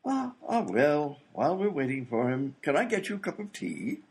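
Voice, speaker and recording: A man's voice: fairly high pitch, sing-song, moderate pace, vocal fry. A man playing a fussy, absent-minded British doctor and inventor in a comedy radio play, blustering and full of hesitations. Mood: dithering, polite.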